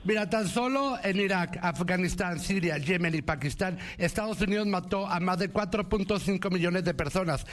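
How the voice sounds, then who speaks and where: High-pitched, insistent male radio co-host. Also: moderate pace, hardly any pauses, a bit bright. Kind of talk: opinionated political debate.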